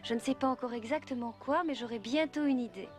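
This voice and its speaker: A woman plays a motherly cartoon fairy with a high-pitched voice. She is kind yet firm and explains things patiently over faint music. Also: quick pace, darkish tone, natural voice. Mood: encouraging. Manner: patient, instructive. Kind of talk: dubbed cartoon acting.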